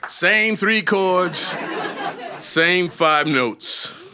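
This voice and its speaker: A high-pitched man tells a story as a live performance, with guitar playing right behind his words. His delivery is animated and emphatic, leaning on dramatic pauses like a seasoned storyteller. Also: crowd noise. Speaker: storyteller and musician. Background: acoustic guitar playing.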